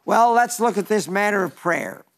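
A man's voice: high-pitched, animated, moderate pace, fairly bright tone. An elderly man preaching a folksy radio sermon, reading listener letters with chatty asides and small stumbles. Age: older.

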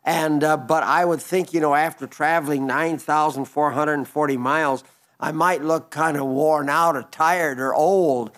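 A man in his nineties giving a broadcast sermon, reading listener letters in a gentle, rambling way with frequent restarts. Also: fairly high pitch, steady tone, normal speed. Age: 90s. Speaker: radio preacher.

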